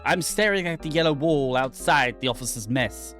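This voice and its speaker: A man's voice: on the high side, animated, moderate pace, a bit bright, soft background music. A man voicing an anxious, tormented submariner who narrates his own humiliation in a dramatic, agitated way. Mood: panicked. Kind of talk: dramatic reading of a horror visual novel.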